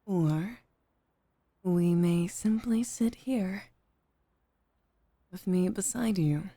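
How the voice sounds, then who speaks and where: A woman playing a composed, formal head maid as a devoted girlfriend in an intimate ASMR roleplay, speaking softly and often near a whisper. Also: on the low side, no room sound, exaggerated voice. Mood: tender, composed. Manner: soft, measured, formal, often dropping toward a whisper.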